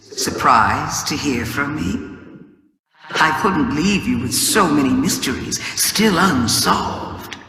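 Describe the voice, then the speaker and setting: The villainess of a fantasy game, taunting intruders with slow, theatrical riddles down the long stone halls of her throne world. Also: low voice, lively intonation, vocal fry, sharp tone, full character voice. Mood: teasing, ominous. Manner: theatrical, slow, savoring each word. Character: scheming alien witch queen, taunting, theatrical riddler. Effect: cavernous reverb. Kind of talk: dramatic video game monologue. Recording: long reverberant hall echo.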